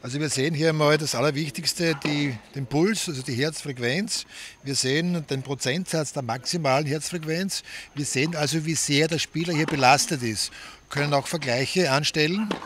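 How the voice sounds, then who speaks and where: A man's voice: a little high, moderate pace, a bit bright, slight background noise. A man working as a fitness coach, methodically explaining training measurements.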